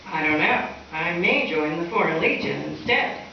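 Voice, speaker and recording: A woman's voice: deep voice, lively intonation, moderate pace, gravelly, muffled recording. A woman playing a wry, sarcastic schoolteacher with dry, arch wisecracks in an old-time radio sitcom style. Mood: wisecracking. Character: wry, sharp-witted female schoolteacher, lovable and sarcastic. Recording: echoey live hall, distant mic, faint noise.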